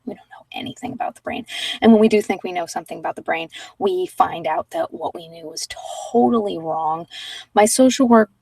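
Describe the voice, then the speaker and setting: A woman's voice: lowish voice, gravelly, a little mellow, slightly rough, dry recording. Hesitant, reflective woman, slightly distant mic. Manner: hesitant, reflective, rambling with pauses. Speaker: meditation guide and former social worker. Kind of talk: informal unscripted ASMR-channel talk.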